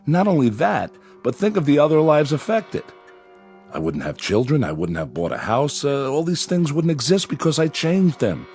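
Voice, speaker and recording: A man playing a rational psychiatrist in a drama, speaking in a deliberate, explanatory and emphatic way. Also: fairly high pitch, wildly swooping pitch, a little mellow, faint music behind. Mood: reasoning, emphatic. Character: measured, rational male psychiatrist reasoning with patient. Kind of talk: dramatic dialogue and first-person narration.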